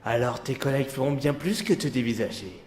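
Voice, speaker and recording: A man voicing a cruel, mocking voice inside another man's head, gravelly and sneering, in an amateur audio drama. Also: mid-range voice, a little fast, darkish tone, slight background noise, lightly acted. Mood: sly. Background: music.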